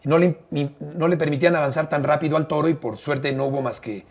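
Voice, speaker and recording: A middle-aged man with a Mexican accent, a broadcaster telling a detailed story as an interview guest, measured and hesitant at times. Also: on the high side, steady tone, creaky. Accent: Mexican Spanish. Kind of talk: conversational storytelling.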